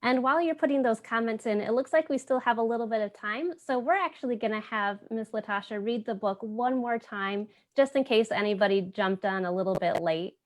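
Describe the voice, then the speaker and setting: A woman's voice: on the high side, a little fast, few pauses. A woman hosting a community storytime, speaking casually and encouragingly straight to the viewers. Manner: encouraging, addresses viewers directly. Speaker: host of a community storytime program. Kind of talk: casual presenting to online viewers.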